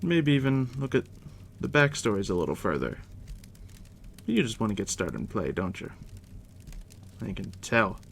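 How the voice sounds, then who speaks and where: A man softly near-whispering in an ASMR roleplay as a game master, casual and unhurried with a dry, teasing manner. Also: vocal fry. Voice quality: deep, hushed near-whisper. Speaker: ASMR roleplay host, playing a dungeon master guiding a new player. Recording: steady background noise, crackling fire ambience. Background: fire crackle.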